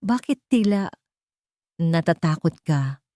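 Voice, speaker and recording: A woman's voice: lowish voice, expressive, slow pace, mellow, no echo. A woman narrator acts out a wealthy wife in an audiobook drama. She is protective and anxious but firm, speaking with urgent feeling. The recording is dry. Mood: worried. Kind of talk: audiobook character dialogue.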